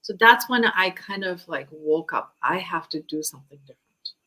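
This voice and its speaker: Woman, fifties, Japanese accent, lowish bright voice, informal personal storytelling, interview guest. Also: expressive, normal speed, low-quality recording. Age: 50s.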